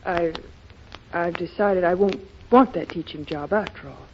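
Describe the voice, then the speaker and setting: A woman's voice: lowish voice, unhurried. Worried young American woman, muffled. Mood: downcast. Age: young adult. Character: worried, self-sacrificing young small-town woman. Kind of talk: 1930s radio drama acting. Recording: muffled, hissy 1930s radio recording.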